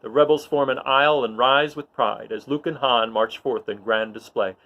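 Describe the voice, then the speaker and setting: A man's voice: medium pitch, normal speed, lo-fi sound, close to an everyday voice. Guy doing all-knowing greek chorus, stately and solemn, declaiming shakespeare verse, kinda celebratory. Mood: triumphant, solemn.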